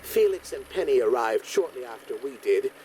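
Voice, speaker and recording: An actor voicing an earnest gentleman in a video game, carefully recounting his testimony. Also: medium pitch, lively intonation, moderate pace, lightly acted, poor audio.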